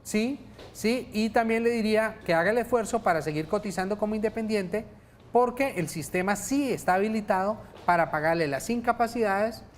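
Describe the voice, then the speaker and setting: A man's voice: sits high, normal speed, slight background noise. A man with a Colombian accent, a lawyer guest on a TV studio show, explaining pension cases patiently and checking in with his listeners.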